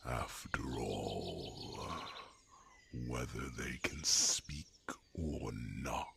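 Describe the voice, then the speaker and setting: A man voicing a huge, ancient, wise dragon in a slow ASMR fantasy roleplay, speaking in a gravelly, breathy half-whisper with deliberate pauses and rumbling hums. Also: lively intonation, pushed and bright, slight background noise, heavily acted. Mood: grave, musing.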